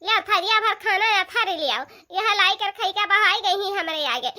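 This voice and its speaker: A woman's voice: very high-pitched, animated, moderate pace, pushed and bright, exaggerated voice. A woman voicing an aggrieved, chatty village woman in a comedy cartoon, complaining with an Awadhi accent. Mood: whining, stubborn. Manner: complaining, rambling, rhetorical questions.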